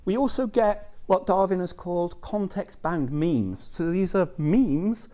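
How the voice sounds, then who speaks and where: A man's voice: high voice, expressive, a little slow, warm tone. A middle-aged man giving a conference talk, conversational, checking in with the audience with frequent "okay" and "yeah". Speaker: academic presenter.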